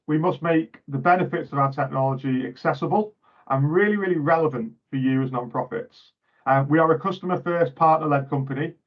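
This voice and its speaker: A man's voice: mid-range voice, muffled recording. A man presenting as a guest speaker in a webinar, upbeat and partly scripted, over a video call. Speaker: guest presenter from a tech company.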